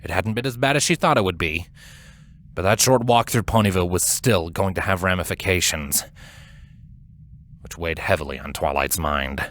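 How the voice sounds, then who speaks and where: A man narrating scripted fiction as a storyteller, with an expressive, suspenseful delivery. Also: low voice, normal speed, slight background noise.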